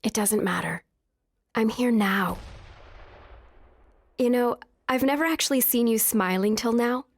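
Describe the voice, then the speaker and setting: A woman's voice: a little high, measured pace, long pauses, no echo. A frank young noblewoman. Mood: tender, wistful. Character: young highborn wife, frank, tender, strong-willed. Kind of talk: audio drama acting.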